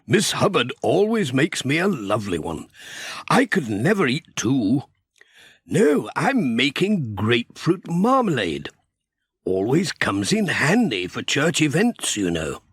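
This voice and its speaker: Bloke doing friendly chatty vicar in a kids story, kindly, up and down pitch. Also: male voice, wildly swooping pitch, unhurried, fairly bright tone, breathy edge, dry recording, clean studio sound, heavily acted. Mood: genial. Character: genial, chatty village vicar, kindly and generous. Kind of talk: children's storybook character voice.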